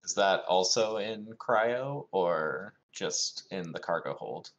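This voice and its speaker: A man's voice: low-pitched, lively intonation, slow. A man chatting casually as a player in a tabletop roleplaying game, on a lo-fi voice channel.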